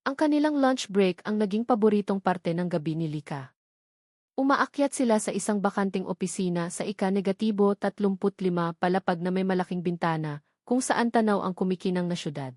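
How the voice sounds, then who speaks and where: A woman's voice: mid-range voice, animated, a little fast, a little mellow, dry recording. Woman narrating a love story, dry close sound.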